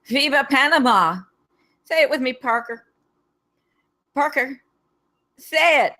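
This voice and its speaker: A woman's voice: sits high, animated, takes it slowly, sharp tone, heavily acted. A woman playing an overbearing, possessed captain with flamboyant patriotic fervour in a comedy table read. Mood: fervent.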